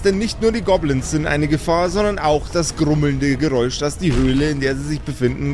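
A man narrating an improvised tabletop fantasy game dramatically as game master. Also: a little high. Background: music.

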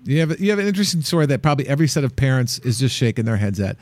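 A man's voice: medium pitch, sing-song, rapid-fire, a little mellow, dry recording. A man hosting a radio travel show, upbeat and joking, who often restarts his questions. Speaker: host.

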